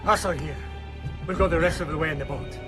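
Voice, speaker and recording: Actor as gruff male thief, Italian accent, fast-talking, high pitch, video game, music. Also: natural voice. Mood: urgent, irritated. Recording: music behind the voice.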